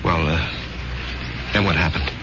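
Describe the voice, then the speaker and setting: An actor playing a quiet, troubled, self-doubting husband with a halting American delivery in a 1970s radio drama. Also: male voice, rumbling low, background noise. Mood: uneasy. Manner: hesitant, halting. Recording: dull lo-fi 1970s radio, music behind. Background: music.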